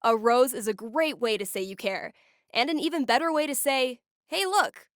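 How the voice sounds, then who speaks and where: A woman's voice: on the high side, normal speed, a bit bright, dry recording, clean studio sound. A woman voicing a wry, geeky young woman in a video game, with a casual, sardonic and playful manner. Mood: upbeat announcing. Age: young adult. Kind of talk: naturalistic game voice acting.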